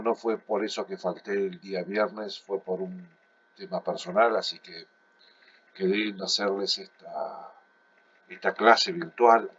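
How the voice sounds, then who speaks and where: A man's voice: lowish voice, lo-fi sound, a little hiss or hum. A man, congested and coughing, explaining a physics problem in a recorded online class at his computer. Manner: steady explanatory, many fillers and restarts. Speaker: physics teacher. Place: at home at a computer.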